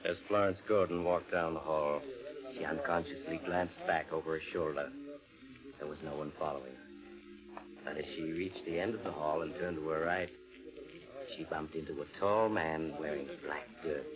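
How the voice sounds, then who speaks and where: An actor playing a sinister, mysterious storyteller, narrating theatrically as host of a 1940s radio suspense show, on a dull old recording. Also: male voice, rumbling low, fairly even intonation. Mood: ominous, suspenseful. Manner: theatrical, ominous, deliberate. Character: sinister mysterious storyteller inviting listeners to eerie tales.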